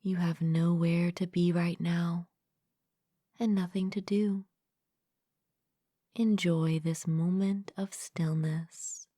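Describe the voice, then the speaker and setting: A woman leading a guided meditation as a mindfulness coach, speaking gently and calmly in a soft, breathy, partly whispered voice, close to the mic. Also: lowish voice, dry recording. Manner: gentle, calm, unhurried with long pauses. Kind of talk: guided meditation, soothing scripted narration.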